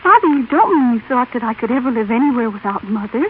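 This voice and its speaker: An actress playing a devoted, tender teenage daughter with earnest, emotional delivery in an old radio drama. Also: female voice, fairly high pitch, expressive, fast talker, close to an everyday voice. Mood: earnest, pleading. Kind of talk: 1930s-40s radio drama acting. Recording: tinny, noisy old radio recording.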